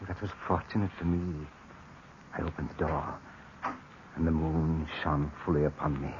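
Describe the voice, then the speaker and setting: Deep-voiced man in tense radio narration. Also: fairly even intonation, normal speed. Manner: tense first-person narration, breathy in places. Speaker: narrator and lead. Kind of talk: 1940s radio acting. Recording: dull old radio recording with hiss.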